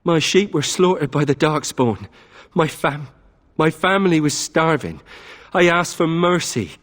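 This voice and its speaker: A humble male peasant shepherd in a fantasy game. His voice is rather high and slow, halting with desperate pleading, in an echoing hall. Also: expressive, fairly bright tone. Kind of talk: video game voice acting.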